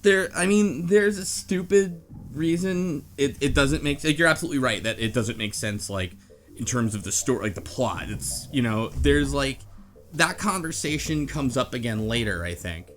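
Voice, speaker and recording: A man co-hosting a casual book club talk about a game, halting and rambling as he thinks aloud. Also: medium pitch, expressive, faint music behind. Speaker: podcast co-host.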